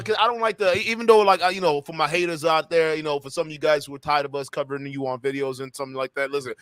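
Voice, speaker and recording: Loud hype-man stream host. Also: a little high, no echo. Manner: loud, playful, shouts catchphrases and laughs a lot. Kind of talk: hype-heavy live stream commentary.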